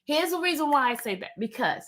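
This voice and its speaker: A woman's voice: sits high, a little fast. Chatty New woman livestreaming. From New York. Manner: animated, chatty, digressive, talks straight to chat. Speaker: YouTube livestream host. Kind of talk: casual livestream chat reading viewer comments.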